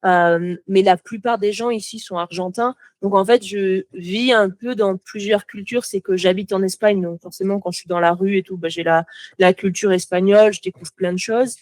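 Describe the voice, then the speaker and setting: A woman's voice: mid-range voice, fairly even intonation, normal speed, a little mellow, dry recording. A young French woman, a motion designer, chatting as a podcast guest, talking freely with lots of fillers and restarts. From France. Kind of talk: casual podcast conversation.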